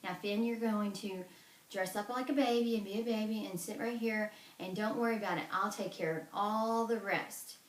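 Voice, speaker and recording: A woman voicing a wise, brisk wife of a giant in a folk tale, speaking high and coaxing. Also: steady tone, echoey room, recorded from a distance, natural voice, rough recording. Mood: urgent.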